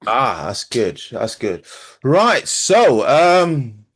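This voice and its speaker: A man's voice: mid-range voice, sing-song, very slow, a bit bright, breathy edge. A man hosting a football podcast over a call, easygoing, often repeating phrases as he runs the show. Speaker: podcast host. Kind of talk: casual podcast chat.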